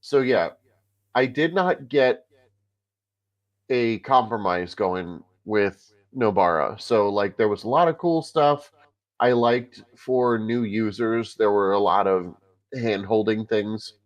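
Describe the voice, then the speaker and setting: A man with a stuffy, congested voice, co-hosting a casual tech podcast over a remote call and pausing often with filler sounds. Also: fairly low pitch, highs cut off. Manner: halting, many filler sounds. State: congested from a cold. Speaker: podcast co-host.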